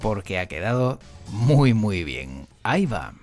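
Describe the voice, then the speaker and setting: A man's voice: lowish voice, big pitch swings, slow, a little mellow, soft background music. A man presenting and interviewing as a podcast host. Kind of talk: scripted intro narration and interviewing.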